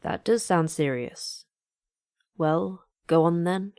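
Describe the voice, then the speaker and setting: Terse female facility chief for sci-fi audio drama: low, creaky, deadpan, used to being obeyed. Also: takes it slowly, no echo. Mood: dry. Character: terse, secretive female manager of secret facility. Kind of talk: audio fiction drama acting.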